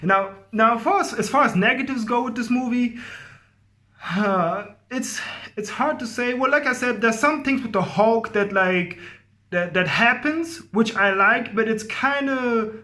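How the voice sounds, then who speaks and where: A man's voice: extremely high pitch, a little slow, pushed and bright. A man giving a casual, effusive movie review to viewers, rambling and often repeating himself. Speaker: film review host.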